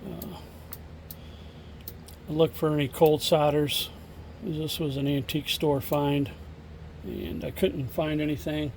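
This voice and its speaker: A man giving a casual, halting, hands-on demo of used equipment in a plain, unscripted way, recorded on a phone. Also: a little high, level tone, a little hiss or hum. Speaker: seller demonstrating a used CB radio.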